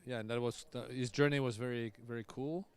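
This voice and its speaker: A man's voice: medium pitch, steady tone, brisk, smooth. A man, a tennis coach hosting casual live commentary, chatty and loose with easy laughs. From France. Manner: chatty, loose, laughs often, restarts sentences.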